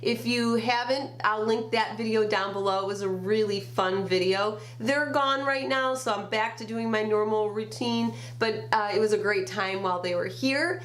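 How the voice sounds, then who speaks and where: A woman's voice: medium pitch, moderate pace, few pauses. A grandmother with a nasal, stuffed-up voice from a summer cold, chatting through a makeup review in a small bedroom and naming each shade one by one. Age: older. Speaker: beauty vlogger. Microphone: camera at desk distance.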